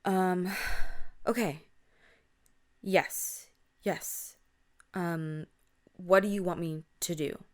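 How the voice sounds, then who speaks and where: A woman's voice: fairly low pitch, fairly even intonation, drawn-out words, long pauses. A woman voicing a bewildered, concerned young woman on a late-night call, asking questions and reassuring in casual, hesitant speech. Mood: hesitant reassurance. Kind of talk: spoken scary-story dialogue.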